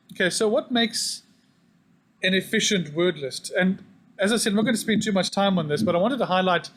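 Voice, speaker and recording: A man giving a technical talk at a conference, brisk and dotted with uhs and restarts. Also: on the high side, fairly bright tone. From South Africa. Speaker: conference presenter. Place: conference hall.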